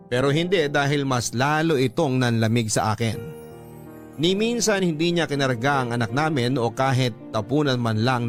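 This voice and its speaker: A man with a Filipino accent reading a scripted first-person letter as a radio drama narrator. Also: mid-range voice. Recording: music under the narration.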